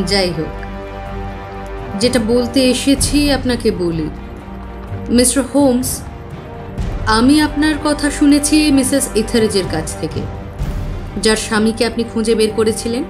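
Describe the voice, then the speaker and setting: A woman playing an anxious, earnest client of modest means in an audio drama, speaking deliberately. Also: a little high. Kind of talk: Bengali audio drama acting.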